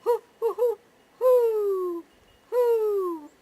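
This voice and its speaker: Loud, high great horned owl hoots. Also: female voice, heavily acted. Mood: loud calling. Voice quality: hooting, drawn-out calls. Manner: repeated loud, evenly sounded hoots. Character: great horned owl call, loud hooting in the night woods. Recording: noticeable background noise.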